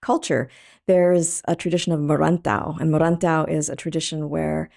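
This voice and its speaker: Low gravelly woman, thoughtful and halting. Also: fairly even intonation, a little mellow. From Thailand. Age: middle-aged. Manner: thoughtful, halting, many ums. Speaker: artist guest. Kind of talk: reflective interview talk.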